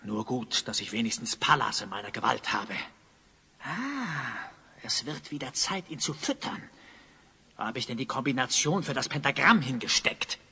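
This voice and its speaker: Swooping, theatrical evil sorcerer. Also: male voice, mid-range voice, very animated, normal speed, sharp tone, exaggerated voice. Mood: grumbling, scheming. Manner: theatrical, grandiose, muttering to himself. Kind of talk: audio drama acting. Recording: clearly noisy background.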